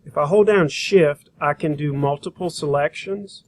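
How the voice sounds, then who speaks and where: Higher-voiced man giving an informal software tutorial. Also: moderate pace, a little hiss or hum. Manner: informal, thinking aloud while working. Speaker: graphic design instructor.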